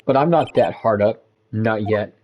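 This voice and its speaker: A man's voice: fairly low pitch, unhurried, a little mellow, slight background noise, pitched below the performer's own voice, lightly acted. A man voicing a proud, bitter young male prosecutor in a video game, haughty and theatrical. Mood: scornful.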